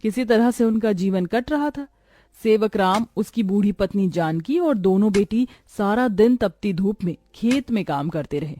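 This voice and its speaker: A woman's voice: medium pitch, animated, moderate pace, warm tone, slight background noise. A woman narrator reading a scripted moral story for a cartoon.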